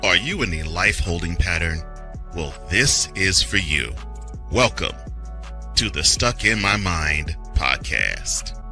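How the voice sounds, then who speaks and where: A man's voice: deep voice, sing-song, slow pace, bright, forceful tone, faint music behind. A man reading a show promo as an over-the-top, theatrical announcer.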